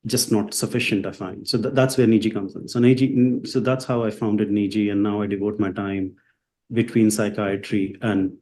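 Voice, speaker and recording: A man's voice: on the low side, little pitch movement, moderate pace, a little mellow. A man from India whose first language is Hindi, a psychiatrist answering interview questions with many fillers and restarts. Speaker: psychiatrist and company founder.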